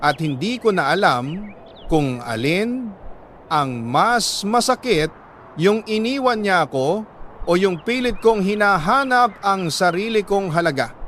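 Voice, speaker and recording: A Filipino man with a slightly high voice narrates a confessional love letter like a radio drama host. He is solemn and sentimental, leaving deliberate pauses between phrases. There is some noise in the recording behind him. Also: animated, a bit bright.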